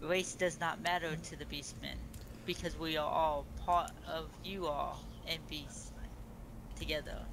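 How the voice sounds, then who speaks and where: A man's voice: fairly high pitch, fairly even intonation, measured pace. A man roleplaying a faction leader in formal, stately speech, welcoming guests in character. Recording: noisy game voice chat.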